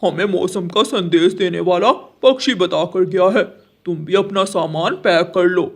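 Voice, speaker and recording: A man's voice: high-pitched, normal speed. A man voicing a scheming, gloating male crow in a children's cartoon, with exaggerated, emphatic delivery. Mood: urgent deceit. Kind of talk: Hindi children's cartoon dialogue.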